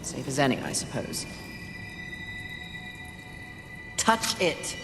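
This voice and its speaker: A woman's voice: a bass voice, wildly swooping pitch, normal speed, over music, exaggerated voice. A woman playing a haughty, scornful female rider of the apocalypse in a video game, sardonic and imperious. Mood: contemptuous. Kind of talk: video game cutscene acting. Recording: echoey, reverberant hall, clear background noise.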